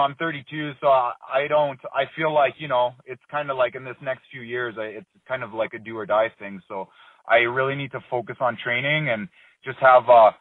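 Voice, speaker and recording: A man in his thirties talks in a loose, rambling way, full of fillers and restarts. His voice sits at an ordinary pitch and comes through a thin, phone-like line with no room sound at all. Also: no echo. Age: 30s. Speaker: MMA fighter, interview guest. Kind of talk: casual phone interview. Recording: dry tinny phone line.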